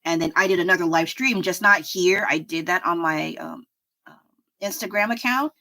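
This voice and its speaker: A woman with a fairly low yet bright, forward voice chats casually at her home desk as she draws. She rambles from thought to thought, pokes fun at herself and laughs often. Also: normal speed. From United States. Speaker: livestreaming artist. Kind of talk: casual chat while drawing.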